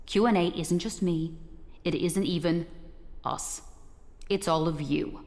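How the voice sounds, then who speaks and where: Icy condescending interrogator lady from a spy game, lowish gravelly voice, big echoey hall. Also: a little mellow. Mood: quietly menacing. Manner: clipped, cold, deliberate statements. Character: cold, condescending female secret-society interrogator. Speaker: interrogator and evaluator for a secret organisation. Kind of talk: scripted video game dialogue. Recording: long reverberant hall echo.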